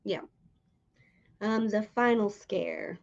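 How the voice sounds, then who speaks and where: A woman's voice: medium pitch, slow, creaky, lo-fi sound. A woman hosting a casual interview, asking questions and chatting about her own opinions. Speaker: interviewer and host.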